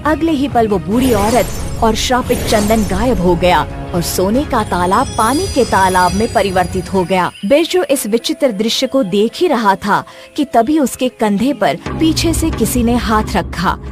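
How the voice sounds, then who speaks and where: A woman's voice: fairly high pitch, expressive, unhurried, few pauses, faint music behind. A woman reading scripted narration as the storyteller of a tale.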